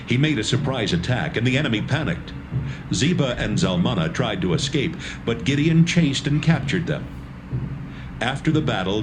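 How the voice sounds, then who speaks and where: A male narrator reads scripture in measured storytelling fashion. His voice is low but animated in pitch, rising and falling with the action, and steady noise runs beneath the recording.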